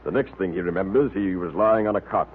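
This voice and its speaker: Brisk ship's captain, old radio sound. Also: male voice, low-pitched, husky, phone-quality sound. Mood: worried. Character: worried, courteous male ship's captain. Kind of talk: 1930s-40s radio drama acting. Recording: thin, noisy vintage radio recording.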